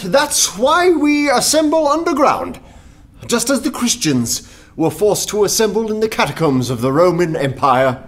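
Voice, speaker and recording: A radio-play anarchist making a speech to his comrades. His voice sits fairly high and moves up and down a lot, earnest and uneasy as he pleads how meek he is. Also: sing-song, a little slow, fairly bright tone, heavily acted. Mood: earnest, placating. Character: anarchist poet pleading meekness in a nervous election speech.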